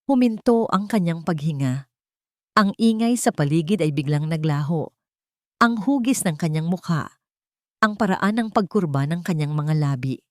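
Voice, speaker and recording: Low-voiced woman narrating dramatically, close and dry. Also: a little mellow, no echo. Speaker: storyteller. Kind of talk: dramatic scripted narration of a romance story.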